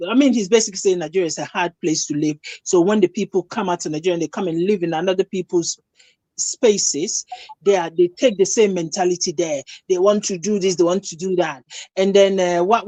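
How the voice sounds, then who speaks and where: A woman's voice: medium pitch, mellow, no room sound. A Ghanaian woman speaks with emphasis and indignation. Her voice sits mid-range. The sound is dry and close, with no room around it. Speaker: panelist. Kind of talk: casual discussion.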